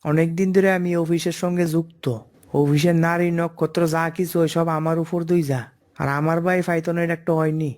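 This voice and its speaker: A man's voice: fairly high pitch, flat delivery, a little fast, darkish tone, lightly acted. A man voicing a cocky, pushy office underling in a comic cartoon, wheedling and overconfident, with an eastern Bengali dialect. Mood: scheming.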